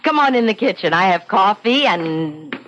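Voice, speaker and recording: Actress as lively homely housewife, old radio. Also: female voice, mid-range voice, normal speed, lightly acted. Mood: welcoming, chatty. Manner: chatty, hospitable, emphatic. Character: welcoming, homely housewife with an eerie earnestness. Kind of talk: 1970s radio drama acting. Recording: dull, hissy 1970s radio recording.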